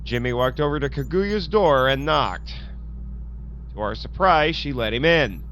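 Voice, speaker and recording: A man's voice: medium pitch, expressive, a little slow, background noise. A man narrating a scripted audio drama as a journal entry. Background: prominent background music.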